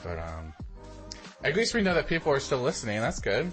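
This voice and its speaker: A man's voice: medium pitch, huge pitch swings, a little fast, fairly bright tone, soft background music. A man co-hosting a podcast in a casual, rambling, informal way. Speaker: podcast co-host.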